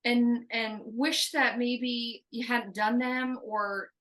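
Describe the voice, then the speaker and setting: A woman's voice: fairly high pitch, fairly even intonation, slow pace, fairly bright tone. A woman working as a medium, relaying a spirit's answers in casual talk, hesitant and full of fillers. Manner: hesitant, filler-heavy, relays in third person.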